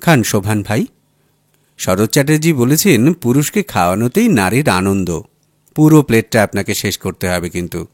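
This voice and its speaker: A man's voice: lowish voice, animated, normal speed, dry recording, clean studio sound. A man voicing a young housewife in an audiobook story, chatty and teasing, affectionate with a wistful streak. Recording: music under the voice.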